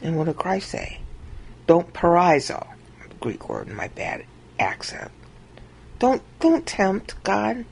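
A woman giving an informal Bible teaching monologue over a screen recording, acting out quoted lines with big jumps in pitch. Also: wildly swooping pitch, takes it slowly, creaky, pauses often, darkish tone, husky.

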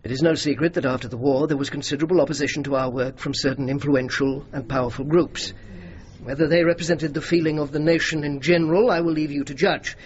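An actor playing an earnest, dutiful British general with an English accent and a measured, formal, diplomatic way of speaking in a radio drama. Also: male voice, fairly high pitch, fairly even intonation, natural voice. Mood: reflective. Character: earnest, dutiful British general defending his war graves commission. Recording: dull, noisy radio drama recording.

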